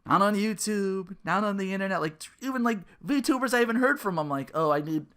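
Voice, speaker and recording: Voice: mid-range voice, animated, fairly quick, a bit bright. A podcast host in their thirties on a casual comedic rant, sarcastic and profane, sometimes slipping into mocking quotes. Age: 30s.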